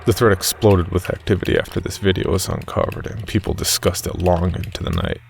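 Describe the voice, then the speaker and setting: A man with a very low voice and a gravelly creak narrates horror. His delivery is measured and matter-of-fact, like someone reading out a grim account. There is faint noise behind him. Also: fairly even intonation. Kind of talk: scripted first-person horror story narration.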